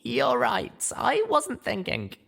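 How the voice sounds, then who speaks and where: A man's voice: extremely high pitch, wildly swooping pitch, slow, vocal fry, bright, forceful tone, slightly rough, voice pushed up, full character voice. A man voicing a young mare heroine, an earnest and determined adventurer in a dramatic story reading. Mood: earnest, urgent.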